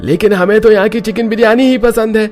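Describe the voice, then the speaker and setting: A man's voice: high-pitched, steady tone, fairly quick, faint music behind, natural voice. A man playing an insistent, pushy food-stall customer in a cartoon. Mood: demanding.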